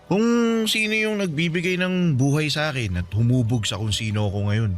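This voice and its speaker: A man's voice: medium pitch, normal speed, faint music behind, lightly acted. An actor playing a polite young man talking respectfully with his mother about the girl he is courting in a radio drama. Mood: respectful, smitten. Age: young adult. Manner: polite and respectful, uses honorifics. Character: polite young man in love, devoted son.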